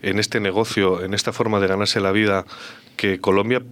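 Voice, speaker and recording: A man's voice: lowish voice, level tone, moderate pace, a bit bright. A man interviewing a guest, asking probing questions with frequent hesitations and filler sounds.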